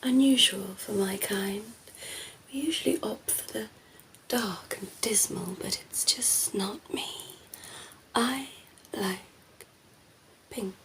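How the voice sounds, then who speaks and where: A woman voicing a ditzy, flirty female vampire in an ASMR roleplay, speaking softly and breathily, often in a whisper, with a coy, teasing manner. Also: mid-range voice, slight background noise, poor audio. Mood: chatty, whimsical. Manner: slow, teasing, coy, giggly.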